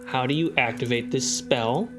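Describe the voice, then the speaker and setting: A man's voice: medium pitch, moderate pace. A man acting as game master, casually refereeing a tabletop roleplaying game. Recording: music playing behind the voice.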